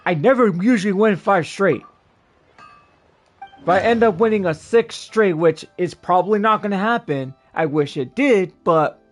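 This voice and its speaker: A man chatting casually on a game livestream, rambling and repeating his phrases as he goes. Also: sits high. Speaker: game streamer. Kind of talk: casual livestream chat over gameplay. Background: game music.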